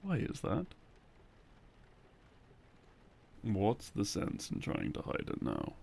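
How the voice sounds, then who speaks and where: A man playing a loving, gently teasing boyfriend in an intimate ASMR roleplay, speaking softly and close to a binaural microphone. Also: lowish voice, huge pitch swings, moderate pace, creaky, heavily acted. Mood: teasing, curious. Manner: soft, hushed, close and coaxing. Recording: close binaural recording, faint noise.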